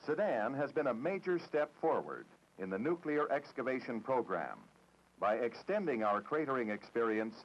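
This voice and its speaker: Narrator: American man, formal matter-of-fact 1960s documentary read, strongly modulated mid-range pitch, lo-fi old film audio. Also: expressive, moderate pace. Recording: dull, dated 1960s film soundtrack.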